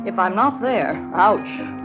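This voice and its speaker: A woman's voice: medium pitch, lively intonation, slow, over loud music. Coy young swindler woman. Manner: coy, confiding, feigned hesitancy. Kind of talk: old-time radio drama acting. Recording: muffled old radio recording.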